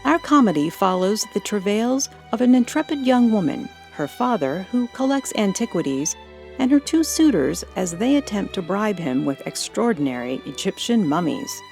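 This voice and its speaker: Female podcast host for scripted intro, gravelly edge, animated, music behind. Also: mid-range voice, normal speed. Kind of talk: scripted narration.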